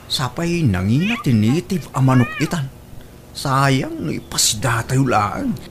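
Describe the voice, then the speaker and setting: Comic radio role: stingy easygoing son, lively wheedling delivery, slightly noisy recording. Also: male voice, medium pitch, measured pace. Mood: wheedling, reluctant. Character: easygoing, stingy son fond of his chickens. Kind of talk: Ilocano radio drama comedy acting.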